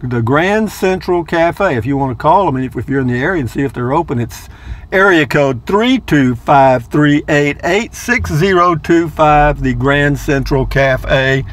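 A man's voice: on the high side, unhurried. An older man, narrating a casual vlog from inside his car, chatty and rambling, repeating words playfully. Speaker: vlogger.

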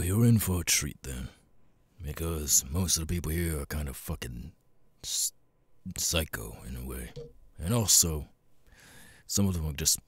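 A man voicing a gruff, world-weary cat demon bartender in an ASMR audio roleplay, raspy and laconic, with casual swearing and long pauses. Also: no room sound, heavily acted. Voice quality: raspy, very deep gravelly voice. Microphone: close, dry studio mic.

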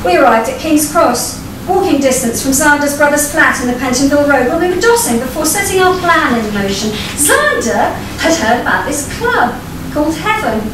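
A middle-aged woman, reading a short story aloud in a wry, theatrical storytelling style with deliberate phrasing. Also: high-pitched, lively intonation, recorded from a distance. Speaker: writer reading their own short story. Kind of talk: live expressive storytelling reading. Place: small vaulted performance venue, on stage. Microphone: distant camera microphone. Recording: echoey stage recording from afar, noisy room. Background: live audience ambience.

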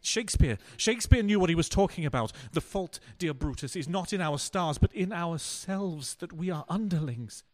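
A man's voice: fairly high pitch, lively intonation, moderate pace, few pauses, lightly acted. A man acting a rueful, self-mocking, weak-willed husband in a radio play, with a theatrical, hesitant delivery. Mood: rueful, gloomy.

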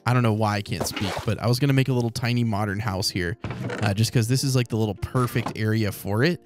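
Rambling, slightly hoarse male game commentator. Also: lowish voice, fast talker, noisy background. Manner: rambling, self-correcting, chatty. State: slightly hoarse from long recording. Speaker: Let's Play creator. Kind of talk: casual gameplay commentary.

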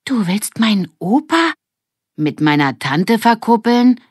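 An eager young schemer of a girl in an audiobook story, acted out by a woman. She talks high and emphatically, full of excitement. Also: slow pace, breathy edge, dry recording, studio quality, exaggerated voice.

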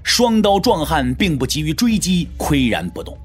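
A man's voice: on the high side, huge pitch swings, moderate pace, over music. A man narrating a martial-arts audio drama in a dramatic, scripted storytelling style.

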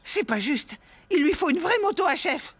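Eager little biker kid, cartoon, really high and bouncy, music under. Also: female voice, big pitch swings, moderate pace. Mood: indignant. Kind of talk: cartoon voice acting. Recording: music behind.

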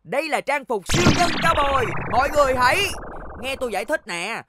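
A woman's voice: high voice, animated, fast, very noisy. A woman voicing an earnest, easily flustered young cowboy pig in a children's cartoon, with a Southern Vietnamese accent, explaining things. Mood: eager, instructive. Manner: explanatory, exclaiming when flustered. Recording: music behind the voice.

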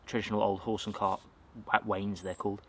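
A man in his thirties with an English accent chats to the camera from a garden. His voice sits fairly low, and he rambles easily, full of fillers and little self-corrections. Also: level tone, fairly quick, slightly rough. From England. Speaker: outdoors vlogger. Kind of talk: casual talk to camera. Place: outdoors in a garden by a wooden shed.

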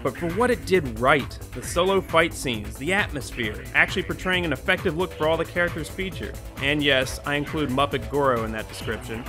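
A man in his twenties narrating a scripted video essay as host, wry, sarcastic and profane, recorded in a small room at home. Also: on the high side. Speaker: host of a video game movie review show.

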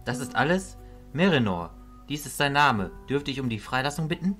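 A captive mage voiced by a man in a fantasy audio drama. He speaks at a middling pitch in dry, articulate and somewhat curt phrases, with light music underneath. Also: very animated, normal speed, a bit bright. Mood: indignant, impatient. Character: captive male mage, dry, indignant, well-spoken.

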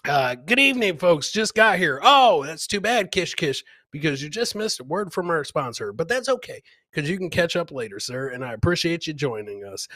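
A middle-aged man hosts a casual comedy livestream in a bright, forceful voice that sits a little high. He jokes with viewers as he comments on clips and likes to repeat his phrases. The sound is close and dry, as through a headset. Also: big pitch swings, moderate pace, hardly any pauses, no echo. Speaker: comedy show host. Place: indoor home studio, webcam livestream.

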